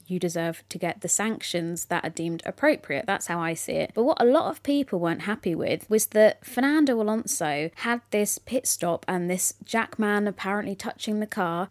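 A young woman chats excitably to her listeners in a solo podcast, her voice a little croaky. Her pitch sits in the middle. The sound is dry and close, with no room around it. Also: no echo. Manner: chatty, excitable, talks to listeners directly. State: slightly croaky voice. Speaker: podcast host. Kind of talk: casual solo podcast chat.

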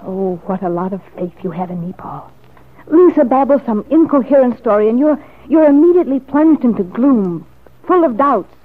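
An actress playing a determined, sharp-tongued woman in a classic radio soap opera, with a haughty, sarcastic manner. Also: fairly high pitch, expressive, moderate pace. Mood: scornful. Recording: muffled lo-fi vintage radio recording.

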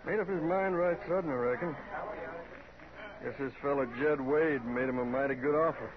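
A man's voice: fairly high pitch, normal speed, natural voice. A man playing a plainspoken, loyal cowboy foreman in a radio western, with a laconic, folksy rural Western drawl. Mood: puzzled, plainspoken. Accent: rural Western American drawl. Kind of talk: 1950s radio western acting. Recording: muffled old radio, crowd behind.

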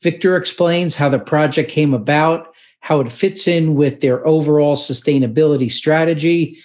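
A man's voice: a little high, a little mellow. A man hosting a podcast, reading a scripted, upbeat introduction. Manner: upbeat, read-aloud delivery. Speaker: podcast host.

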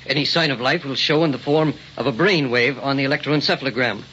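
A man's voice: medium pitch, fast, bright, forceful tone, close to an everyday voice. An actor playing a polite but insistent, learned museum man in old-time radio drama, speaking in precise, formal phrases. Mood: thoughtful. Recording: dull, noisy old radio recording.

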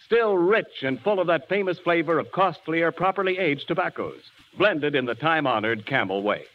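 A man's voice: a little high, animated, moderate pace. A man reading a 1940s radio commercial as announcer in an emphatic, declamatory style. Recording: dull old radio broadcast, faint noise.

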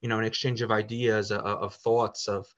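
A man's voice: on the low side, level tone, moderate pace, muffled recording. A man who teaches Italian, talking casually on a podcast, rambling with many fillers and restarts. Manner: rambling, lots of "you know" and restarts. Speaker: Italian teacher and parish community member.

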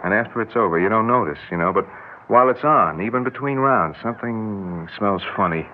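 An actor playing a modest, uneasy young boxer, speaking haltingly in colloquial 1960s radio drama style. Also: male voice, on the low side, lively intonation, narrow, tinny audio, close to an everyday voice. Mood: reflective. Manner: halting, hesitant, tough-guy colloquial speech. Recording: noisy, thin old radio recording.

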